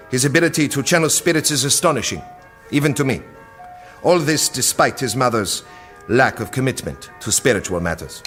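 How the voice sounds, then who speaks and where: A man acting in an audio drama as a cold, efficient project director, delivering a briefing in a precise, deliberate way. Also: mid-range voice, fairly bright tone. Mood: grave, detached.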